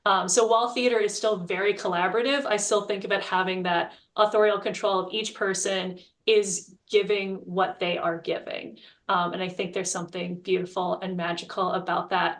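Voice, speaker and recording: A woman speaking as a panelist in a reflective discussion, explaining her thinking in a thoughtful, conversational way. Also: mid-range voice. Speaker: panelist, playwright and screenwriter.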